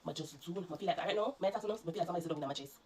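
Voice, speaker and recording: A woman's voice: low voice, a little mellow. A Jamaican woman telling viewers, in patois-laced casual speech, about a lingering flu that still has her congested. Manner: rapid, earnest, mixing patois into the telling. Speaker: vlogger. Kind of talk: casual personal storytelling to viewers.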